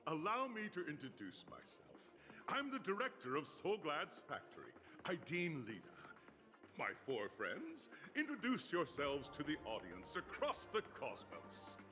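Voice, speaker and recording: A man's voice: fairly high pitch, huge pitch swings, unhurried, bright, forceful tone, heavily acted. An actor voicing a jovial, grandiose older tycoon in a video game, with a theatrical, flowery showman's delivery. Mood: grand, welcoming. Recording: music behind the voice.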